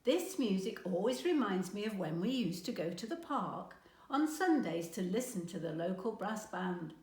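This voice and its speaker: A woman's voice: medium pitch, big pitch swings, normal speed, a little mellow, reverberant, lightly acted. A woman voicing a fond but competitive English grandmother in a storybook read aloud.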